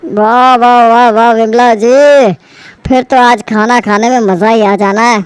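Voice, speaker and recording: A woman's voice: a little high, fairly even intonation, a little slow, clean tone, no room sound, close to an everyday voice. A woman voicing a jovial middle-aged father who loves rich food and talks heartily. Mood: delighted.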